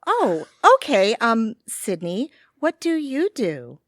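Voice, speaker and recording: A woman's voice: fairly high pitch, very animated, slow pace, no echo, clean studio sound, faint music behind. A woman acting a polite but evasive bookstore clerk in a comedic audio play, easily flustered. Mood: courteous. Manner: courteous but guarded.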